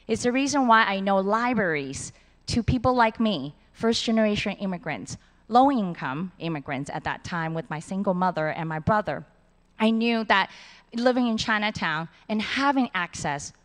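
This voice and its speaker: A woman's voice: medium pitch, fairly bright tone. Earnest woman, hall reverb. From Hong Kong and Taiwan. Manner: earnest, simple phrasing. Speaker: city supervisor. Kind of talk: award acceptance speech. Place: large auditorium stage. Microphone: handheld mic.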